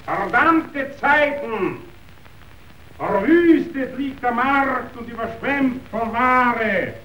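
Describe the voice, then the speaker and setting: A man's voice: very high voice, animated, very slow, bright, forceful tone, a little hiss or hum, heavily acted, poor audio. A man acting a domineering, scornful meat tycoon in a verse radio play, declaiming theatrically. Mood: bitter lament.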